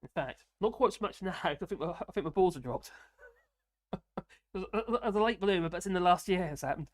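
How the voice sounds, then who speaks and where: A man from the UK with a fairly high voice, hosting a live stream and rambling casually through viewer comments with frequent chuckles. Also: normal speed. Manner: rambling, self-deprecating, chuckling often.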